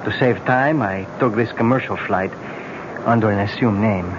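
A man playing a courteous, solemn young prince in danger, speaking with measured politeness in a 1970s radio drama. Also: lowish voice, lightly acted. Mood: grave. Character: grave, courteous young prince marked for death. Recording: muffled, very noisy old radio recording.

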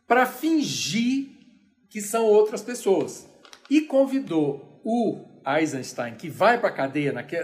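Animated, high-voiced Brazilian man lecturing. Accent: Brazilian Portuguese. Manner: animated, chatty, many restarts and asides. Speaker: lecturer on opera. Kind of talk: informal explanatory lecture.

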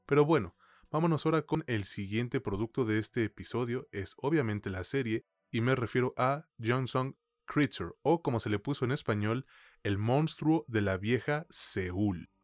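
A man's voice: medium pitch, lively intonation, normal speed, dry recording, studio quality. A Mexican man co-hosting a podcast, presenting in a casual, conversational way. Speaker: podcast co-host.

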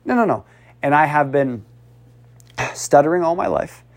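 A man's voice: fairly high pitch, moderate pace. A male speech coach talks through his methods in a loose, conversational way. He repeats himself for emphasis and sighs between phrases. His voice jumps up when he urges the listener on. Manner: animated, repetitive, urging, with audible sighs. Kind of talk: casual coaching talk.

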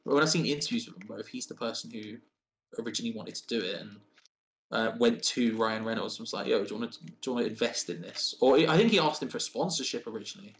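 A man's voice: medium pitch, fast talker, poor audio. A man chatting casually on a podcast, rambling with lots of fillers and restarts.

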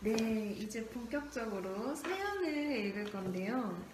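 A woman's voice: on the high side, lively intonation, measured pace. A young woman co-hosting a casual radio-style show, playful and giggly, leading the segment and reading a listener's letter. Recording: echoey room with faint background noise.

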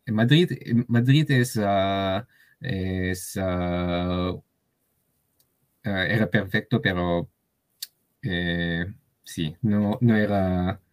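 A man from Taiwan with a Taiwanese accent, a guest speaking Spanish as a learner, halting with many fillers and restarts. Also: low-pitched, fairly even intonation, no room sound, clean studio sound. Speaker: interview guest. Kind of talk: casual conversation.

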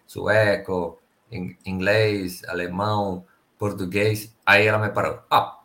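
Finnish man, low relaxed voice. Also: takes it slowly. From Finland. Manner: relaxed storytelling, careful non-native phrasing. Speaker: guest, company sales director. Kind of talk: casual interview chat.

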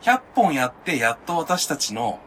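A man's voice: on the high side, sing-song, normal speed, fairly bright tone, a little hiss or hum. A man co-hosting a casual podcast chat, agreeably chiming in with his friends. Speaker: podcast co-host.

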